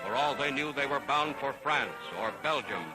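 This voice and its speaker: A man's voice: mid-range voice, moderate pace, rough recording. A man narrating a 1940s newsreel in a dramatic, solemn, declamatory manner, on an archival soundtrack. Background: loud orchestral music.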